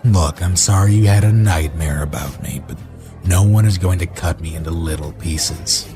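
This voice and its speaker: A man's voice: sits low, moderate pace, music in the background. A man playing an exasperated, placating husband in a horror audio drama, speaking in a weary manner. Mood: placating, patient. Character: Ben, exasperated, doomed husband working on finances.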